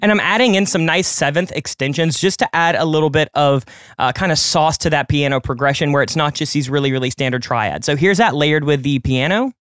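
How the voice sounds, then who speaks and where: A man of twenty-eight, a music producer hosting a tutorial, talking quickly and conversationally as he explains each production step from his home studio. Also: a little high. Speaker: music producer and channel host. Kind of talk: screen-recorded production tutorial. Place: home studio with colored lights.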